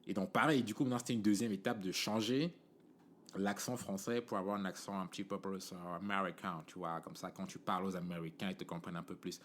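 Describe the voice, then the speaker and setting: A man with a Cameroonian French accent talks through a podcast monologue in a loose, conversational way, dropping "tu vois" every few phrases. His voice sits very low. A faint hiss of background noise runs under the recording. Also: steady tone, fast talker. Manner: conversational, many fillers and "tu vois" asides. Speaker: podcast host. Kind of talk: casual monologue.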